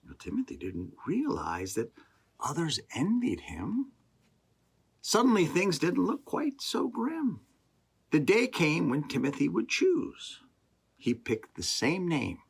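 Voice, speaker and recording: A man reading a rhyming children's story aloud in a deliberate, expressive way, with pauses. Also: fairly high pitch, gravelly, slightly rough. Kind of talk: rhyming scripted story narration.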